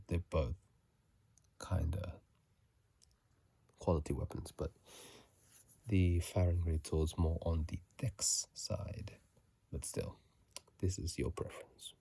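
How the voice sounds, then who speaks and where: A man doing whispered ASMR commentary on a video game, soft and breathy, musing in a halting, casual way, close to a phone mic. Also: low voice, no room sound. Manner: halting, musing, unhurried delivery. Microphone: close phone microphone.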